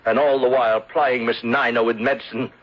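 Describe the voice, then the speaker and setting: Vengeful accuser, radio drama, male actor: husky, bitter, deliberate and theatrical, muffled old recording. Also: medium pitch, steady tone, close to an everyday voice. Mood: scornful, triumphant. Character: vengeful bereaved man unmasking his beloved's murderer, accusing and bitter. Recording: dull lo-fi vintage radio recording.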